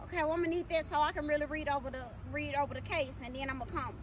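A woman's voice: high voice, steady tone, very fast, natural voice. A woman playing a slick, shady self-styled male lawyer in a comedy skit, talking evasively in repetitive street slang. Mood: evasive, assuring. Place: outdoors beside a shipping container. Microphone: phone camera from a few meters away. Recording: distant outdoor phone audio with noise.

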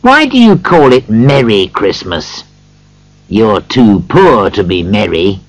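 A man's voice: on the low side, big pitch swings, takes it slowly, no room sound, heavily acted, low-quality recording. A man voicing a cross, bitter old miser in a storybook, scornful and emphatic. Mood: cross, dismissive.

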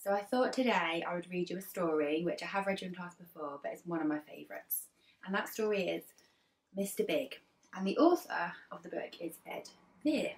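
A woman in her thirties reading a children's story aloud like an infant teacher, expressively, with dramatic pauses between phrases. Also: on the low side, expressive. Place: living room sofa, indoors. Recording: roomy, distant mic, faint music behind.

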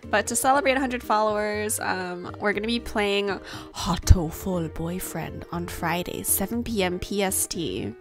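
A young woman livestreamer talks casually at a mid-range pitch, rambling and playful with a giggly streak. She sounds like she is at a desk mic in a small room at home, with faint music underneath. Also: measured pace. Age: young adult. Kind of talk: casual livestream chat while gaming. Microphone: desk microphone with headset.

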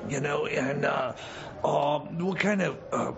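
A man acts a pushy, bumbling corrections officer in a radio comedy, tripping over repeated words as he badgers. The voice is heavy with fry, on a muffled, noisy recording. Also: on the high side, slow. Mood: grumpy. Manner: stammering, repetitive, badgering. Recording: dull lo-fi radio sound, noisy background.

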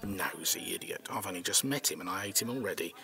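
A man's voice: low voice, lively intonation, quick pace, vocal fry. An actor playing a nervy, irritable older man, hesitant and grumbling, in an audio comedy drama. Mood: irritable, suspicious.

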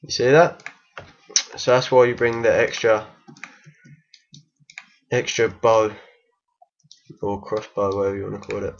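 A man with a croaky voice from being unwell gives a casual, hesitant game tutorial voice-over. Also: lowish voice, steady tone, measured pace, long pauses, lo-fi sound. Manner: hesitant, many ums and restarts. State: croaky from illness. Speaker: game guide maker.

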